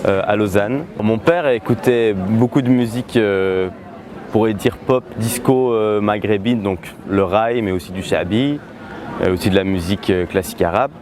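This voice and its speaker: A young man, answering interview questions about his music in a relaxed, hesitant way with many filler pauses. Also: lowish voice, crowd noise. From Switzerland. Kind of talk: casual interview talk.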